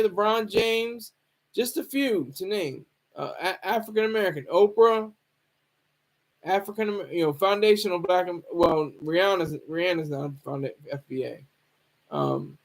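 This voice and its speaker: A man with a high-pitched voice talks off the cuff like a streamer commenting on the news. His delivery is casual and halting, wandering along as he repeats words and circles back over phrases. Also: low-quality recording. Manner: rambling, halting, repeats himself.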